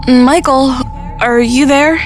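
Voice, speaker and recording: A sardonic teen girl in an audio drama, high voice, really expressive. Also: slow pace, faint music behind. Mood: concerned. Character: teenage schoolgirl, exasperated, wry, quick to react.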